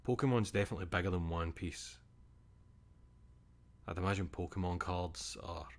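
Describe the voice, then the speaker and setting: Young Scottish male streamer, very low voice, loose rambling chat with casual swearing. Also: moderate pace.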